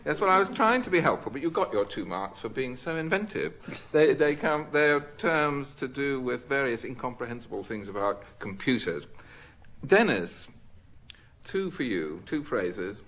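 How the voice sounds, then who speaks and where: A man's voice: medium pitch, sing-song, normal speed, fairly bright tone. A middle-aged British man chairing a radio panel game, chatting and bantering with the contestants. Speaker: quiz show chairman. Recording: dull old radio recording.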